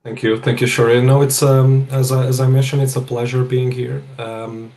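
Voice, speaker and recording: A middle-aged man from Portugal with a Portuguese accent, speaking as an interview guest in a halting way, often repeating words. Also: mid-range voice, level tone, darkish tone. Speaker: guest speaker, project controls professional. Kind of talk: interview self-introduction.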